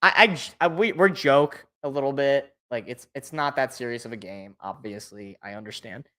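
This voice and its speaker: A man co-hosting a casual sports podcast, loud and excitable, stumbling over repeated words, his voice worn out. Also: mid-range voice, normal speed, a crowd in the background. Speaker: podcast co-host.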